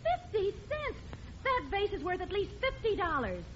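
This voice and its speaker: An actress playing an exasperated, sharp-tongued middle-aged housewife in a 1940s radio comedy, firm and scolding. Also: female voice, very high-pitched, big pitch swings, moderate pace, exaggerated voice. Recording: muffled, noisy old radio broadcast.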